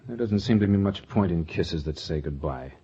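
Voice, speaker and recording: An actor playing a steadfast, plain-spoken young small-town suitor, earnest and sincere, in a 1940s radio drama. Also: male voice, low voice, expressive, moderate pace, warm tone, slightly rough, exaggerated voice. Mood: resigned. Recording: muffled 1940s radio broadcast recording.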